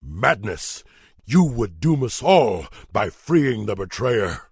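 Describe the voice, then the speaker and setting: An actor playing a stern, forbidding ancient male guardian in a video game, gruff and booming, with a solemn manner. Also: big pitch swings, slow, no echo, studio quality, exaggerated voice. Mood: outraged. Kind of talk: dramatic video game acting.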